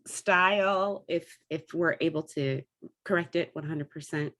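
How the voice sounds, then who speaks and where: Woman, lower-pitched voice, casual discussion, enthusiastic, exploratory, theatre director. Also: unhurried, a bit bright. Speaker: theatre company artistic director and performer.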